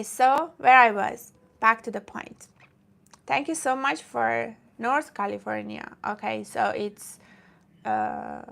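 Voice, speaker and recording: A woman's voice: mid-range voice, creaky, fairly bright tone. A woman from Iran who streams about fitness, chatting casually with viewers in a rambling way and often breaking off to greet commenters.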